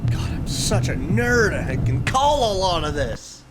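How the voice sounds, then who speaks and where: Higher-pitched geeky man reacting excitedly. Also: unhurried, very noisy. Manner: exclamatory, geeky, talks back to the video. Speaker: reaction video host.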